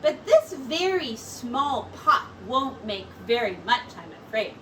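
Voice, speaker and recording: A woman's voice: fairly high pitch, big pitch swings, slow pace, bright, forceful tone, heavily acted. A woman reading the part of a wise, kindly old monk in a children's storybook. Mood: gently persuasive. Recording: distant mic with faint background noise.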